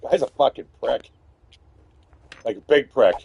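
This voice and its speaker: A man giving loud, rambling, profanity-filled commentary while playing a video game. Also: medium pitch, a little slow, creaky, husky, highs cut off. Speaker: gaming streamer. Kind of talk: casual gameplay commentary.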